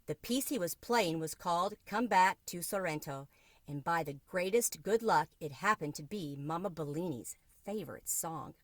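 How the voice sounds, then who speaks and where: A woman's voice: lowish voice, lively intonation, moderate pace, no room sound. A woman reading a children's book aloud as a storyteller.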